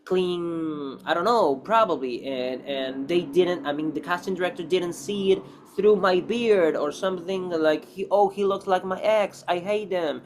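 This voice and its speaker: A man with a fairly high voice talks casually as an actor being interviewed. His English has non-native phrasing. He rambles through his advice, repeating key phrases with emphasis. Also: measured pace, few pauses, a little hiss or hum.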